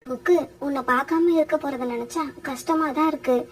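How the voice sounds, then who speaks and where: A woman's voice: high-pitched, steady tone, brisk, natural voice. A woman voicing a devoted, hardworking mother sparrow in a cartoon fairytale. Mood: tender, hopeful. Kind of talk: animated cartoon fairytale dialogue.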